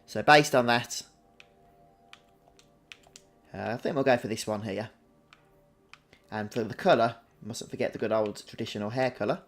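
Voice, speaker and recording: A man hosts a casual Let's Play. His voice sits at a medium pitch. He talks in an unhurried, chatty way, thinking through his choices aloud for the viewers. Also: soft background music. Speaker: gaming video host.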